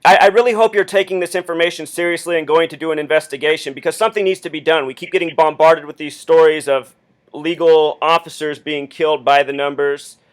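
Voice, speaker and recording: Insistent man with a slightly high voice. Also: fairly even intonation, fast. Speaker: caller phoning the police with a theory. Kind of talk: earnest explanatory phone monologue.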